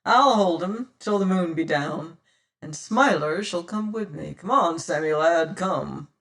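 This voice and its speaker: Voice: mid-range voice, a little slow, fairly bright tone, distant mic. A reader voicing a bold, cunning male pirate captain who talks hearty old-fashioned sea talk. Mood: commanding.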